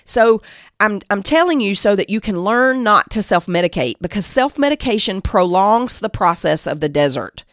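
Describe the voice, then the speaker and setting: A woman's voice: mid-range voice, expressive, moderate pace, dry recording. Emphatic female Bible teacher, dry close sound. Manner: emphatic, exhorting, repeats phrases for weight. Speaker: Bible teacher and podcast host. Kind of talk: devotional teaching talk.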